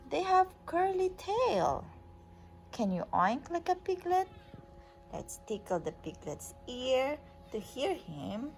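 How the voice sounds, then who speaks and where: A woman's voice: a little high, darkish tone, slight background noise, poor audio. A woman reading a children's book aloud as a mother to a young child, in a sing-song, coaxing way with simple questions. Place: at home.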